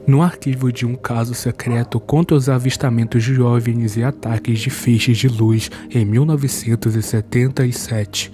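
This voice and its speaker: A man's voice: medium pitch, steady tone, moderate pace, hardly any pauses, dark tone. A man with a Brazilian Portuguese accent giving a scripted narration that recaps a horror series.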